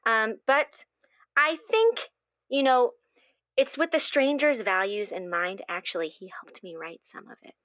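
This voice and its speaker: Earnest, effusive female playwright acted by a woman, improvised interview drama, higher register, slightly dull recording. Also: lively intonation, measured pace, dry recording. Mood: earnest, cautioning. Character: earnest devout woman playwright, gushing, anxious to please. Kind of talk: improvised actual-play interview acting.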